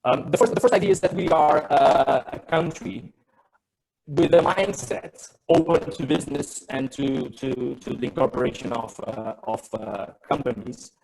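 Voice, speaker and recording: A man's voice: medium pitch, moderate pace, vocal fry, a little mellow, rough recording. A man with a Portuguese accent giving a business presentation over a web call, hesitant and often repeating words and phrases. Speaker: investment webinar presenter. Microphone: computer on a web call.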